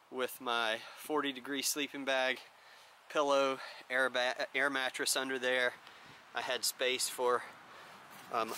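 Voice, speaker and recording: Bright-voiced American man reviewing gear. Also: medium pitch, a little hiss or hum. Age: 30s to 40s. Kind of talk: casual product review vlog.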